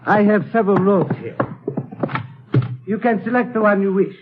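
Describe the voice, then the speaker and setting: An actor playing a courteous, watchful Middle Eastern guide in a radio drama, with formal, precise phrasing. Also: male voice, high voice, moderate pace, background noise, natural voice. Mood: polite, calm. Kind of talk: old-time radio drama acting. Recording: tinny, band-limited old radio recording.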